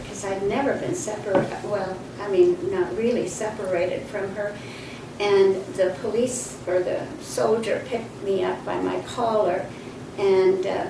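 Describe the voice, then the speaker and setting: A woman in her sixties, born in Poland with Polish as her first language, telling a halting, reflective childhood story in an interview. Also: medium pitch, creaky. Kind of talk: oral history recollection. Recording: echoey room, distant mic, background noise.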